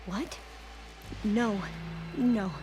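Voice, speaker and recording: An actress playing a hesitant, anxious woman in a video game, speaking haltingly and carefully. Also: medium pitch. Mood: alarmed. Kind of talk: video game cutscene acting. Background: soft cinematic music.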